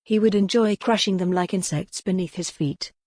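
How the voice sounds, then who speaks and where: A woman's voice: on the low side, moderate pace. A woman giving a scripted, dramatic narration of a historical romance audiobook.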